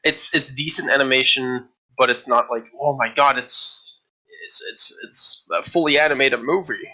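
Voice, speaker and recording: A man's voice: mid-range voice, moderate pace, bright, forceful tone, rough recording. A man co-hosting a casual podcast about games, rambling with frequent restarts and casual swearing. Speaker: podcast co-host. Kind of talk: casual chat.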